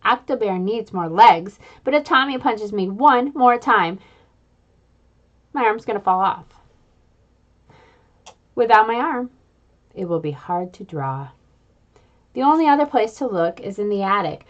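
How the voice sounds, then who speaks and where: A woman reading a children's book aloud in an expressive, playful way, adding little sound effects. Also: medium pitch, measured pace, pauses often, lo-fi sound. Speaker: reader.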